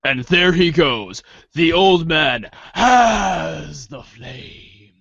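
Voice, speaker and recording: A man chimes in casually during a reading, irreverent and excitable. His pitch moves around a lot and his pace is very slow. The recording is dry and slightly dull. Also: gravelly. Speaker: page-turn caller and commenter in a reader's theater show.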